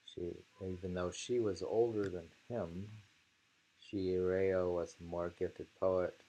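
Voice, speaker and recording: A man hosting a casual haiku discussion and reading poems aloud, in a halting, musing way that often trails off. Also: low-pitched, level tone, dark tone, poor audio.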